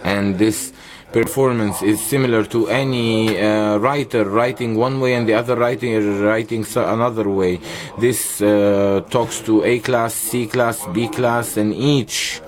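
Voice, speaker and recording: A man with an Egyptian accent interpreting a conference panel into English simultaneously, halting often and correcting himself mid-phrase. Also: fairly low pitch, steady tone. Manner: halting, many pauses and self-corrections while keeping up. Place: conference hall interpreting booth.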